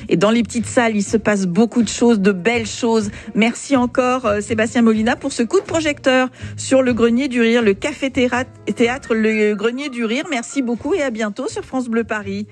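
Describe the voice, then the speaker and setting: A woman's voice: on the high side, moderate pace, few pauses, soft background music. A woman radio host conducting an interview with friendly, upbeat questions.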